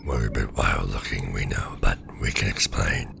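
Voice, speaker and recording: A man voicing a gruff, sardonic alien symbiote with a growling monster voice in an audio drama. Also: fairly even intonation, moderate pace, reverberant, background noise, full character voice. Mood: calm, menacing. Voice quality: deep gravelly growl.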